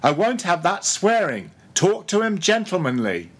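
A brash, hearty ship's officer, acted by a male reader, barking orders in an imperious, mocking way. The voice is pushed well up in pitch from the reader's own, exclamatory and hectoring, for a storybook sea tale. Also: very animated, unhurried, slightly rough, full character voice. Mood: mock reproving. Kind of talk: audiobook character voice.

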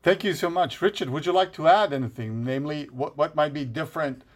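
A man's voice: on the high side, a little fast. Polite male panel moderator. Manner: polite, posing long questions.

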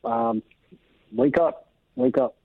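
A man's voice: takes it slowly, no echo. Middle-aged American man, dull lo-fi sound. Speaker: interview guest, military veteran. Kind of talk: earnest opinion talk.

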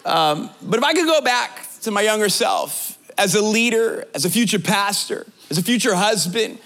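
A man's voice: sits high, animated, normal speed, gravelly, fairly bright tone. A man in his late thirties preaching a sermon as a pastor, conversational and joking with the congregation, building points through rhetorical repetition. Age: 30s. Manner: conversational preaching with jokes and direct address; rhetorical repetition, sometimes sing-song emphasis.

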